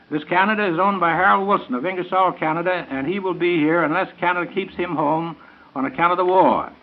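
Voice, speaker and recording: An American man making scripted announcements in the style of 1930s radio. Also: sits high. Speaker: event announcer on a radio show. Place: radio studio. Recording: tinny, narrow 1930s radio broadcast.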